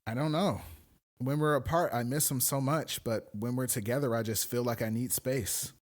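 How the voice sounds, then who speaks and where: Man playing an evasive, smitten young woman, softly spoken. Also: mid-range voice, fairly even intonation, moderate pace, pitched below the performer's own voice, close to an everyday voice. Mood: uneasy, withdrawn. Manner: evasive, confiding. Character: smitten but emotionally avoidant young woman in a skit.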